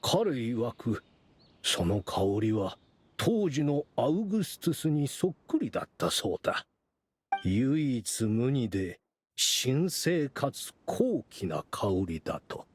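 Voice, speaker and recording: An actor playing a courteous, measured middle-aged gentleman official in an anime game. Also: mid-range voice, sing-song, slight background noise. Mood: explaining.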